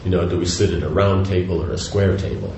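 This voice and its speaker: A man's voice: low-pitched, steady tone, fast. An older man giving a devotional lecture, talking in a loose, rambling way with many restarts and asides. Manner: rambling, conversational, frequent "you know" and restarts. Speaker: spiritual teacher. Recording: echoey room with faint noise.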